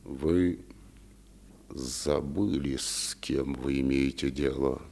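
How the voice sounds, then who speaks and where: A man's voice: very deep voice, steady tone, slow, creaky, darkish tone, heavily acted. An actor playing a sly, threatening henchman in a radio play, speaking insinuatingly as he bargains for his boss. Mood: quietly threatening. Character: menacing male henchman of a powerful boss, sly negotiator.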